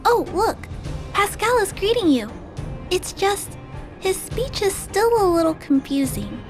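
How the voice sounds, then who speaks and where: A woman voicing a gentle, earnest young girl in a video game, polite and careful in her speech. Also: extremely high pitch, animated, a little slow. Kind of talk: scripted game cutscene dialogue. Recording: music behind.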